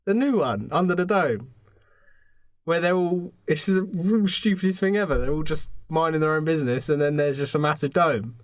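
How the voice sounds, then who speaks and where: A man's voice: a little high, expressive, moderate pace, no echo. A British man chatting and joking casually with friends as a podcast co-host. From Britain. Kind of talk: casual comic banter with friends.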